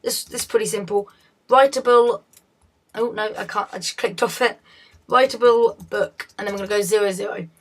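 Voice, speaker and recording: A woman with a hoarse, fading voice, talking through a game tutorial in a chatty, self-correcting way. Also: mid-range voice, steady tone, normal speed. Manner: chatty, self-correcting, often laughs at slips. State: hoarse, losing her voice. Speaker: video tutorial host.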